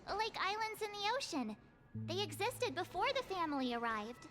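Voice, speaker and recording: A plucky teenage anime game heroine, with an extremely high, childlike voice. She sounds bubbly and expressive over loud background music. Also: normal speed. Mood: chatty. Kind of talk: anime-style video game voice acting.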